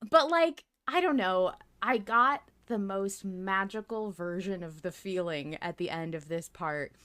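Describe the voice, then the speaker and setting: A woman hosting a casual fan podcast, talking in an excitable, emphatic way and swearing freely. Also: medium pitch, a little slow, clear, resonant tone. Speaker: podcast host.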